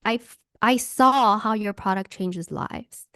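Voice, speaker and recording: Woman coach from Hawaii, animated, dry video-call sound. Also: mid-range voice, takes it slowly, no room sound. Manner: animated, acts out enthusiastic quotes. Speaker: workshop speaker and coach. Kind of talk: answering questions in an online workshop.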